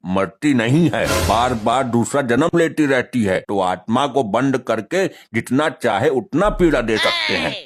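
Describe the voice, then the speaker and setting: A man's voice: medium pitch, lightly acted. A man playing a stern, scornful god of death in a comic cartoon, with a pompous, scolding, formal delivery. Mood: grave, threatening.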